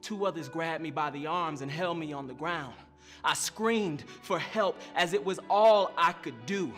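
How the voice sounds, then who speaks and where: A man's voice: fairly high pitch, unhurried, fairly bright tone. A man performing a dramatic memoir reading as a reflective young man recalling childhood hurts, with music behind him. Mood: pained, grave. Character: reflective young man recounting painful and tender childhood memories. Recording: music bed under the voice.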